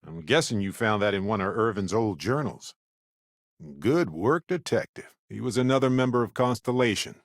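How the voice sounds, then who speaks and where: An actor playing a witty, adventurous male space explorer companion in a video game, speaking in an expressive, conversational way. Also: lowish voice, moderate pace, no echo, studio quality. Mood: wry, curious.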